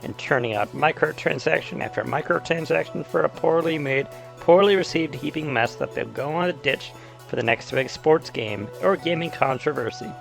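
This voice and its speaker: Gravelly-edged man narrating a casual video essay. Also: on the high side, normal speed, faint music behind. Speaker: YouTube host.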